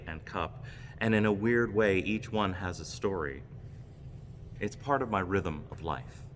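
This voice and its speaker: A man giving a reflective homily with personal stories, speaking in measured, deliberate phrases. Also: sits low, a bit bright. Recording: long reverb, background noise.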